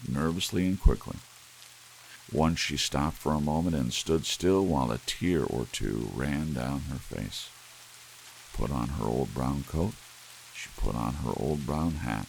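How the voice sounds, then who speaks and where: A man narrating an audiobook in a soft ASMR storytelling style, measured and unhurried like a bedtime reading. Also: extremely low pitch, vocal fry, rough recording. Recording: steady rain hiss behind the voice. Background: soft rain.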